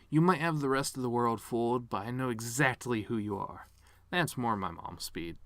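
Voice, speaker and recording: A man's voice: fairly low pitch, dry recording. Man acting bitter, theatrical middle-aged washed-up star. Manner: sardonic, theatrical, swings between outbursts and hushed asides. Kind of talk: dramatic monologue reading, fan performance.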